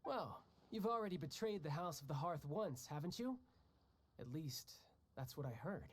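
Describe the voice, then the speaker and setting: A man's voice: fairly high pitch, animated, normal speed, slight background noise, natural voice. An actor playing a cocky young male warrior who talks in a breezy, joking way. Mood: teasing. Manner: breezy, joking, laughs often. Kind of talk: video game dialogue. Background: game music.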